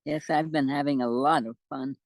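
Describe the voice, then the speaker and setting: A woman in her nineties from the United States chatting casually as an interview guest, halting and good-humored. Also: rumbling low, fairly even intonation, dry recording, studio quality. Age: 90s. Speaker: interview guest, amateur postcard poet. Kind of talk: casual chat.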